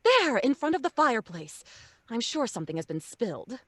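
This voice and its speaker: A woman's voice: a little high, very animated, a little fast, a bit bright, no echo, clean studio sound. A woman playing a refined, easily flustered mother in an old-time radio drama, with a fluttery manner. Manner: genteel, fluttery. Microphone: studio mic at home, video call.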